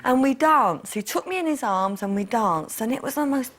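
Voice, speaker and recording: A woman's voice: on the high side, animated, normal speed, fairly bright tone. Woman talking candidly. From East London. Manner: earnest, reflective, repeats herself. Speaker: interview guest. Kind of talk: candid personal interview.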